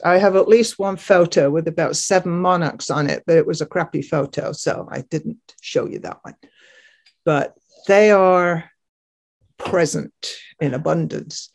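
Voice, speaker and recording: An older woman gives an informal tour narration in an unhurried, slow voice. She sounds chatty and a little hesitant, as if talking through slides. She is indoors on a computer microphone in a video call. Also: fairly low pitch, gravelly, a little mellow. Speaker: gardener giving a garden tour.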